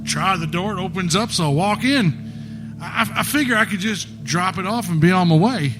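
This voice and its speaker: High-pitched, jittery, folksy delivery guy. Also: expressive, normal speed. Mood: wary, reluctant. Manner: stammering, folksy drawling slang. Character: jittery folksy delivery man, rattled and indignant. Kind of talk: live theatrical audio drama acting. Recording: live stage recording with music behind.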